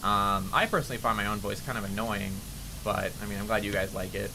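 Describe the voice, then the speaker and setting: A man's voice: fairly low pitch, fairly even intonation, fast, noisy background. A young man with a nasally voice, rambling casually to his chat as a streamer while playing a game. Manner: rambling, chatty, answering chat.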